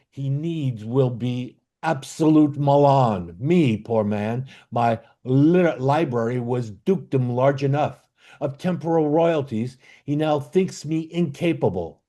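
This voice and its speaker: A man playing a bitter, commanding exiled duke and father in an amateur Shakespeare reading, with a declamatory, halting delivery. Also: medium pitch, mellow, natural voice. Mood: bitter, aggrieved. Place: online video call.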